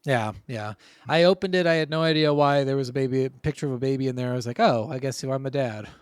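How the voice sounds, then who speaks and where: A man's voice: medium pitch, quick pace, clear, resonant tone, no room sound, studio quality. A man co-hosting a podcast, chatting informally and telling anecdotes in a conversational way. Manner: informal, acts out quotes conversationally. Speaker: podcast co-host. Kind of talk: casual chat, anecdotal storytelling.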